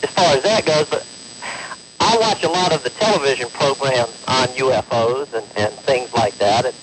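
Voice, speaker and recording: A man phoning in to a radio show, talking in a halting, hesitant and earnest-sounding way. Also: mid-range voice. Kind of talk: hesitant phone-in conversation. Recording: noisy radio phone call with clicks.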